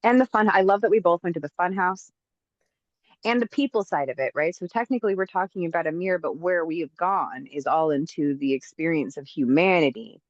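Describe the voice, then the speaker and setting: A woman co-hosting a casual podcast chat, talking in an animated, emphatic way and getting motivational. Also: fairly low pitch, a little fast, dry recording, dull audio. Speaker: podcast co-host.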